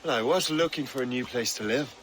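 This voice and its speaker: A man's voice: medium pitch, fairly quick, a little hiss or hum, lightly acted, low-quality recording. An actor playing a proud, guarded young male sailor from colonial times in a video game. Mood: determined. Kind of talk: dramatic video game cutscene acting. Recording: loud music behind.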